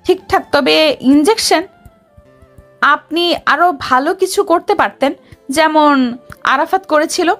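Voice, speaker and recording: High female voice, actress as sassy young officer, melodramatic, romantic audio drama, music behind. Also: measured pace, pauses often, fairly bright tone. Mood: demanding, teasing. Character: feisty, dramatic young woman officer in love with her boss. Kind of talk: amateur romantic audio story acting.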